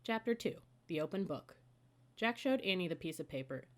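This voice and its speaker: A woman's voice: fairly low pitch, lively intonation, normal speed. A woman narrating a children's storybook as an audiobook reader.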